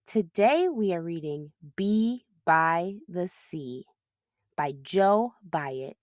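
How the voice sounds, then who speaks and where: A woman hosting a children's read-aloud, narrating expressively with clear phrasing. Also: on the low side, lively intonation, extremely slow, a little mellow, dry recording, clean studio sound.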